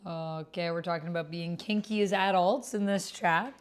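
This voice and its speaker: A woman with a slightly low voice hosts a tabletop roleplay. She reads the text expressively and drops into casual asides of her own. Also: fairly even intonation, normal speed. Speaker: solo tabletop roleplay player and host. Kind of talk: reading aloud text roleplay with casual commentary.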